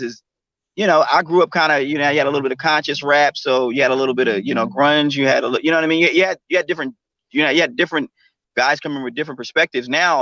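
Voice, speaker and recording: A man's voice: mid-range voice, pushed and bright, no room sound. A forty-year-old man from Texas, a musician guest chatting casually and often asking "you know what I mean". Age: 40s. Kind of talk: casual interview chat. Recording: dull lo-fi dry sound.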